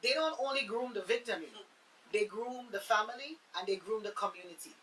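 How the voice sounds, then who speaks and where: Bright woman explaining on panel radio. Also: a little high, a little fast, recorded from a distance, a little hiss or hum, rough recording. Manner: explanatory, emphatic, seeks agreement with tag questions. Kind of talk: conversational panel discussion.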